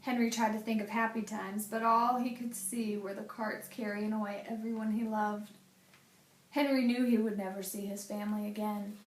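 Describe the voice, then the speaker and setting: A woman reading a children's picture book aloud as a teacher, with a deliberate, dramatic storytelling delivery. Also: medium pitch, little pitch movement. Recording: echoey room.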